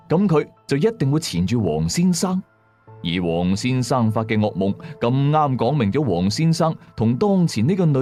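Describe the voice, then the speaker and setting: A man's voice: medium pitch, darkish tone. A man with a Hong Kong accent narrating a scripted ghost story in a colloquial, dramatic and suspenseful way.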